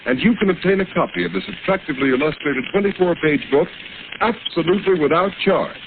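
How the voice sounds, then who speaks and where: A man's voice: high-pitched, quick pace, vocal fry. A man announcing in a dramatic, emphatic scripted style on old radio. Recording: noisy tinny old radio recording.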